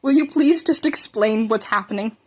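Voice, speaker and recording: Woman as confused adult superhero man in audio story, high voice, hesitant, worried. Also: normal speed, darkish tone, close to an everyday voice. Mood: baffled. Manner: hesitant, baffled.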